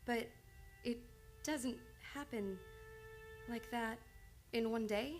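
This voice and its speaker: A woman's voice: fairly high pitch, slow, a little mellow, soft background music. An actress playing an earnest, reserved woman psychiatrist in an old-time radio drama, speaking formally and precisely. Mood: tender, bewildered. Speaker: radio play actor. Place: radio studio.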